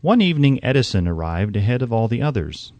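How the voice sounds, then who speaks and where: Man narrating an audiobook with lively intonation. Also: mid-range voice, fast talker, no room sound.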